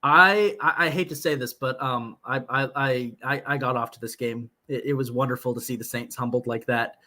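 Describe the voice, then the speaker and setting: A man's voice: mid-range voice, normal speed, sharp tone. A man hosting a sports podcast in casual banter, blunt and freely swearing. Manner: profane, blunt.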